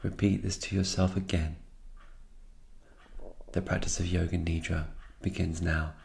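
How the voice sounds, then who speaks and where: A man guiding a meditation in a slow, calm and soothing narration, leaving unhurried pauses between phrases. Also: low voice, creaky, a little hiss or hum. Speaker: guided meditation instructor.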